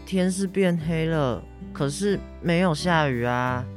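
A man's voice: fairly high pitch, a little slow, soft background music, close to an everyday voice. A teenage boy with a Taiwanese Mandarin accent acting a caring schoolboy son in a radio drama. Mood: worried, scolding. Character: Ah-Zhong, caring schoolboy son, nagging his injured mother. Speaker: student voice actor.

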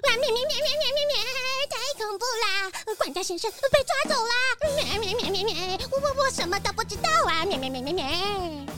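A woman's voice: very high voice, animated, brisk, few pauses, bright, forceful tone, faint music behind, full character voice. A woman voicing a hysterical female sheep who bleats between phrases in a children's audio drama. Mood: panicked. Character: panicky bleating female sheep, young lady in a castle.

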